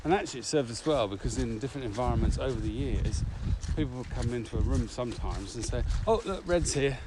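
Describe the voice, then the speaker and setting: A man's voice: mid-range voice, expressive, quick pace. A middle-aged man co-hosting a podcast, chatting casually with a dry, teasing manner while walking outdoors in a field. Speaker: podcast co-host. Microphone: camera far behind, walking away. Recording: loud outdoor background noise.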